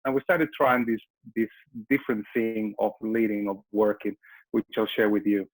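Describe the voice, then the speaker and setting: A man's voice: on the low side, steady tone, moderate pace, poor audio. A middle-aged man from Spain with a Spanish accent, presenting a webinar as a company founder and often restarting and repeating his words. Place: Melbourne.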